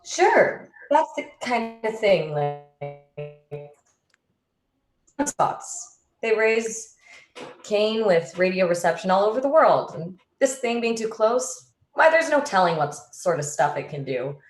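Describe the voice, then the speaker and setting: A woman plays an uneasy suburban neighbor in a radio suspense drama. The voice is mid-pitched, with plenty of room echo around it. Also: very animated, slow pace, soft background music. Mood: explaining. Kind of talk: amateur radio play acting. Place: room at home. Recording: roomy, echoing home recording.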